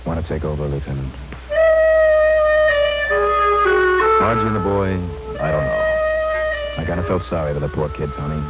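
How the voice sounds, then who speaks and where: An actor with an American accent playing a wry, unflappable hard-boiled investigator, sardonic and clipped, in old radio crime drama style. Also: male voice, extremely low pitch, a little fast, over loud music. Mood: subdued. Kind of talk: 1950s-60s radio crime acting. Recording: muffled old radio recording, faint noise.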